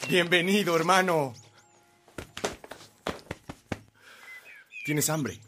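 An actor playing a welcoming but businesslike older brother and landowner with a Mexican accent, speaking directly in a radio drama. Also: male voice, medium pitch, animated, moderate pace, noisy background, natural voice.

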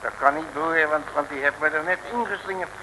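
Bright, high-voiced blustering detective. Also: male voice, fairly quick, poor audio. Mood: wry. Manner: blustering, folksy, exclaiming. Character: blustering, grumbling male detective, excitable and colloquial. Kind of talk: 1950s-60s radio serial acting. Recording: old radio recording, faint noise.

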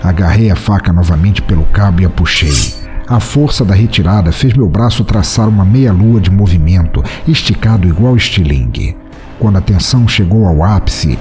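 A man hosting a horror story podcast, narrating a tale in the first person with a theatrical, expressive delivery. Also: low voice.

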